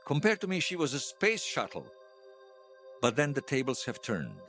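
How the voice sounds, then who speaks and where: A man's voice: mid-range voice, huge pitch swings, normal speed, fairly bright tone, faint music behind. An actor playing a regretful, bitter man who is hailed as a hero, confessing his shame in an audio drama. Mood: bitter, ashamed. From Bosnia. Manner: confessional, self-reproaching. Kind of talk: fiction podcast drama acting.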